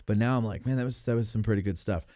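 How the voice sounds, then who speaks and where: A man's voice: fairly low pitch, rapid-fire, mellow, no room sound. A man in his forties chatting casually as a podcast host, rambling and opinionated in a self-deprecating way. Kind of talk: casual chat.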